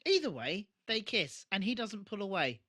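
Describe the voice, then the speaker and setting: A man's voice: high voice, big pitch swings, normal speed, sharp tone, slightly rough. A British man co-hosting a podcast, chatting casually and bluntly about an episode. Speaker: podcast co-host. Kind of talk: casual episode review chat.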